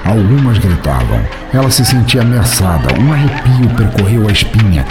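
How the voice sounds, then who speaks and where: A man's voice: lowish voice, very animated, normal speed, over loud music. A man with a Brazilian accent narrating dramatically as a podcast host, in a literary, elaborate manner.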